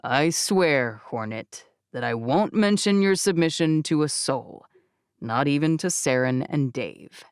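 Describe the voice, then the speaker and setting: A woman's voice: low voice, animated, a little slow, clean tone, no room sound, studio quality, pitched below the performer's own voice. A woman narrator voicing a teasing, easygoing young man in audiobook dialogue.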